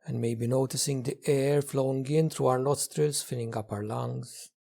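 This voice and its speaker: Husky, creaky man guiding a meditation. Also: medium pitch, fairly even intonation. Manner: gentle, unhurried, with long pauses between phrases. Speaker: meditation teacher. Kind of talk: guided meditation, slow spoken instruction.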